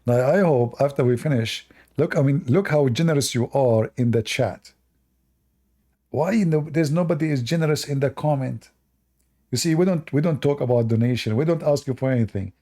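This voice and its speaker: A man's voice: medium pitch, moderate pace, warm tone. A man hosting a live-stream debate, talking to the chat in a sarcastic, mocking way. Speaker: livestream debate host.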